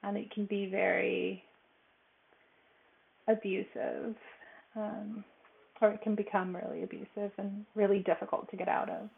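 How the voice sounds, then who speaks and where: A woman's voice: mid-range voice, flat delivery, a little slow, vocal fry, long pauses. A woman in her forties from Los Angeles, a podcast guest telling her story hesitantly with many fillers. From Los Angeles, California. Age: 40s. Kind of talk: personal story in a podcast chat. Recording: tinny phone-like line.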